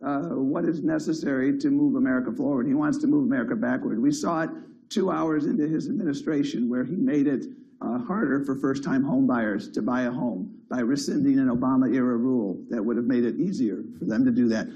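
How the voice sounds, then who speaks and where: A hoarse man who has lost his voice, answering a debate question quickly and emphatically with many fillers. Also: medium pitch, mellow, highs cut off. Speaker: debate candidate.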